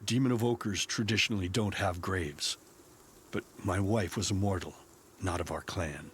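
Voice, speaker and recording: A man's voice: low voice, moderate pace, a bit bright, slight background noise. A grim, determined demon evoker father. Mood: grieving. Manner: grave and determined. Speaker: game character. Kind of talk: game cutscene voice acting. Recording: faint music behind.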